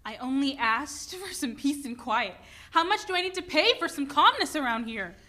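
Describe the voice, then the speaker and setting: A woman's voice: high voice, animated, normal speed, pushed and bright. A woman playing a stressed, driven mother and restaurant owner in a dramatic play reading. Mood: exasperated, defensive.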